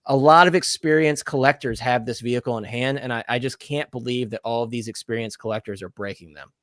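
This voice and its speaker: A man hosting a casual collector podcast, talking in an earnest, hedging way with plenty of fillers. His voice sits at a middle pitch and is recorded close and dry, with no room sound around it. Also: fairly quick, fairly bright tone, no echo. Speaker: podcast host.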